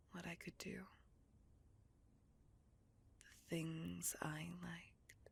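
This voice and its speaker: A woman playing a seductive, possessive, coldly vengeful vampire in a slow, intimate, breathy whispered ASMR roleplay. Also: on the low side, exaggerated voice. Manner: slow, intimate, deliberate, savouring words.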